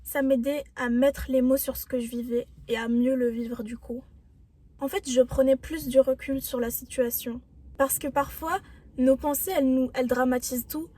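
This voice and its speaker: A young woman with a high, soft and mellow voice talks straight to her viewers. She gives self-help advice in an earnest, reflective way. Her tone stays encouraging throughout. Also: steady tone, moderate pace. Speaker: vlogger. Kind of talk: motivational self-help talk.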